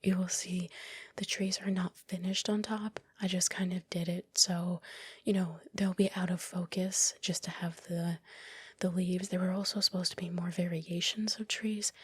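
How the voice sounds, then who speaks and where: A woman whispers a casual, rambling, self-critical ASMR commentary on her own work in a breathy, unvoiced voice, close to the microphone. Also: fairly low pitch, level tone, normal speed, dry recording. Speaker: ASMR video creator narrating own work. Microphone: close microphone, dry voice-over.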